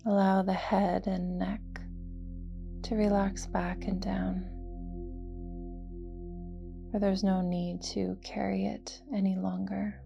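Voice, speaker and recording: A woman leading a guided yoga nidra meditation in a soft, soothing, near-whispered voice with gentle, measured phrasing. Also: medium pitch, flat delivery, vocal fry, muffled recording, over music.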